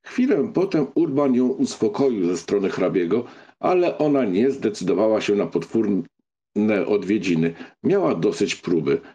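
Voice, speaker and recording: A man narrating a literary audiobook in an expressive, scripted storytelling style. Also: fairly low pitch, normal speed, husky, muffled recording.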